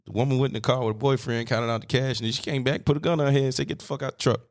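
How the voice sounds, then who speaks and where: A man's voice: mid-range voice, steady tone, rapid-fire, gravelly. A man in his thirties hosting a casual podcast, laid-back, laughing often and swearing freely. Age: 30s. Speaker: podcast host.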